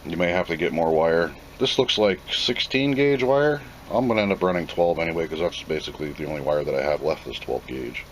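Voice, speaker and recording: A man's voice: deep voice, fairly quick, hardly any pauses, a little hiss or hum, low-quality recording. A plainspoken man giving an off-the-cuff, casual commentary as he reviews and unboxes a product.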